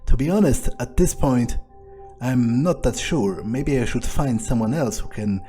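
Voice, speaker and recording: A man's voice: medium pitch, a little slow, mellow, soft background music. A man playing an arrogant, scheming, foul-mouthed vampire in a muttered, scornful in-character monologue over gameplay. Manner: profane, scornful, muttering to himself.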